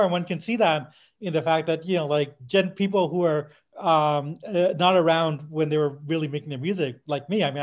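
A man with a noticeably high voice talks casually as a podcast co-host. He is in his fifties and speaks haltingly, starting a point, breaking off for a side note, then picking it back up. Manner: halting, digressive, with frequent self-interruptions and tangents. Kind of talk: casual podcast discussion of music history.

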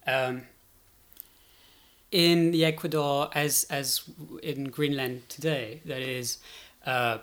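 A man's voice: medium pitch, fairly even intonation, takes it slowly, fairly bright tone. A man speaking as an academic guest expert, explaining politics analytically and often checking in as he goes.

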